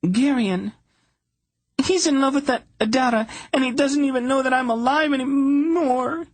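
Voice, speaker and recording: A man's voice: on the high side, normal speed, husky, pitched above the performer's own voice. Man voicing a haughty, weepy young princess. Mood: wailing. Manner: lofty, then wailing and sobbing.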